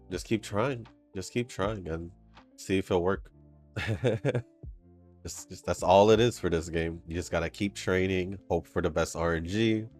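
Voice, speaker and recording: A man hosting a gaming channel, chatting casually over gameplay with a stuffy, congested nose, rambling and laughing between comments. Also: low-pitched. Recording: faint game music behind. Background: soft game music.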